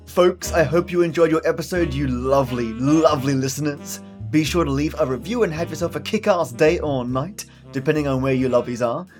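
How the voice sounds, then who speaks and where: Higher-pitched, playful male podcast host over music. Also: brisk, hardly any pauses. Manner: playful, effusive, mildly profane. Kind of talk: chatty sign-off and playful scripted storytelling. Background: music, plucked guitar.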